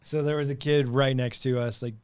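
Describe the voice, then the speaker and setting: A man's voice: mid-range voice, steady tone, a little fast, darkish tone, clean tone. A man co-hosting a podcast, chatting casually and telling stories in an informal, sweary way. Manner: profane, informal storytelling. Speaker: podcast co-host. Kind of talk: casual chat.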